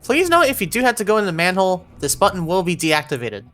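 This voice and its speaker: A woman's voice: on the low side, very animated, fast, a bit bright. A woman narrating an instructional video game walkthrough, giving encouraging step-by-step directions.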